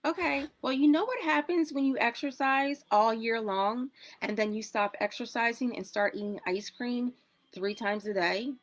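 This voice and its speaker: A woman in her forties talking in a casual social media video about her health, in a chatty, dramatic way, with sudden jumps between loud and soft. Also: on the high side, normal speed. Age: 40s. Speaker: wellness product promoter in a clip.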